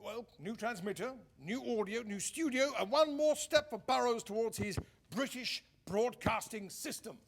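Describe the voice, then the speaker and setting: A matter-of-fact man of the early wireless trade in a period radio play. His voice is high and his manner dry and practical. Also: lively intonation, normal speed, hardly any pauses, heavily acted. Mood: informative. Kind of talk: period radio drama acting.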